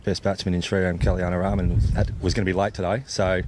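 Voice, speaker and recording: Deep-voiced, fast-talking Australian sportsman. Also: little pitch movement. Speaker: cricket club captain. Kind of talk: post-play sports interview. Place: outdoors at a cricket ground. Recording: clear background noise, outdoor ambience.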